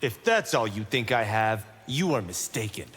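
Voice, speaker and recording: A man's voice: fairly low pitch, sing-song, normal speed, fairly bright tone, husky, long reverb tail, faint music behind, full character voice. A man playing a cocky, wisecracking young monk fighter in a video game, confident and bantering. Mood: defiant. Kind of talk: video game character acting.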